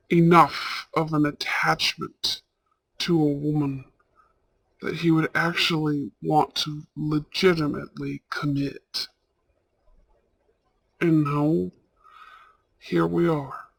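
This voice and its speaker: A man playing a warm, protective older brother from a mafia family, speaking tenderly and earnestly in a romantic audio roleplay. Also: fairly high pitch, vocal fry, no echo, exaggerated voice, rough recording. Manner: tender, earnest, halting delivery with sprinkled Italian endearments.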